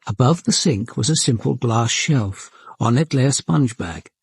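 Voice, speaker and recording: An actor playing an observant police detective, narrating a murder investigation in a grave, deliberate and precise way for a radio crime drama. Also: male voice, medium pitch, lively intonation, vocal fry, husky, no echo, clean studio sound, exaggerated voice. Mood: grave, methodical.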